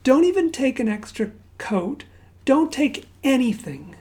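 A woman raised in Zambia preaching at an unhurried pace, with a mellow, warm tone. Her prayer and stories alternate between relaxed, chatty asides and soft, hushed near-whispers. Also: sits high. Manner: conversational storytelling with fillers and asides. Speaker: pastor. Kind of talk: sermon with opening prayer.

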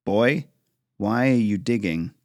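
Guy voicing a grumpy stern dad, growly and bossy, lowish voice. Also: lively intonation, slow pace, darkish tone, dry recording, clean studio sound. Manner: barking, growling orders. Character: stern, gruff working father, middle-aged.

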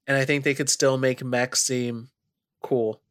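Nasal stuffed up guy riffing on his podcast, mid pitch, dead dry sound. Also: little pitch movement, unhurried, clean tone, no room sound. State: stuffy nose. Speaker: podcast co-host. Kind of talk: casual podcast chat.

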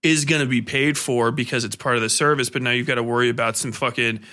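Bright, forceful man chatting, swearing freely. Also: mid-range voice, steady tone, fast. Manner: profane, blunt, rambling. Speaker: podcast host. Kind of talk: casual podcast chat.

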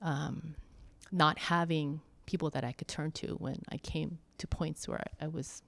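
A woman talking slowly and thoughtfully about faith and church life, pausing often with soft fillers. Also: sits low, steady tone, vocal fry. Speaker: church member interviewee. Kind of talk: reflective conversation.

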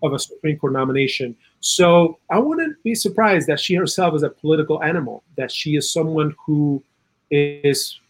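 A man from South America giving earnest, opinionated political commentary in a conversational way, with a husky voice and plenty of "you know". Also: a little high, lively intonation, normal speed. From South America, Chile. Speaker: interview guest, political and legal commentator.

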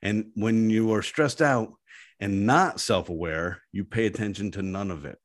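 A man with a deep voice talking in a casual interview, an animated and self-deprecating storyteller. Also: a little slow. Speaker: guest, app founder.

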